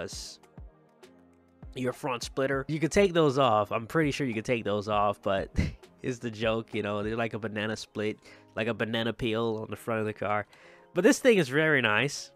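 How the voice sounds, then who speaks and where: A man with a mid-pitched voice hosts a casual gaming showcase of cars. He talks conversationally and enthusiastically, leaning on repeated words for emphasis. Faint music plays behind his commentary. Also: a bit bright. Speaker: gaming video host. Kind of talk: casual car showcase commentary over gameplay.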